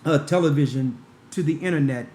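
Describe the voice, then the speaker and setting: Church elder rambling fast, higher voice, echoey room. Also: male voice, darkish tone, a little hiss or hum. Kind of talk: talk show commentary.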